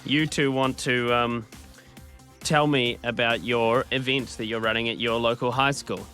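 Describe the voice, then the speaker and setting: A man's voice: mid-range voice, fairly even intonation, measured pace, pushed and bright, faint music behind. A man hosting a radio comedy skit, playing a clueless interviewer with mock seriousness. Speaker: radio host.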